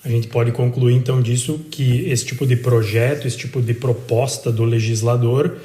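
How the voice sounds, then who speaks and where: A man speaking as an interviewer in a measured discussion. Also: medium pitch, steady tone, dark tone. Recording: roomy, echoing sound.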